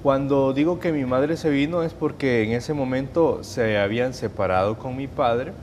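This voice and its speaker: Salvadoran man giving measured testimony. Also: medium pitch, darkish tone, slight background noise. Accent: Salvadoran Spanish. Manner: measured, hesitant, with restarts and fillers. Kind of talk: personal testimony in an interview.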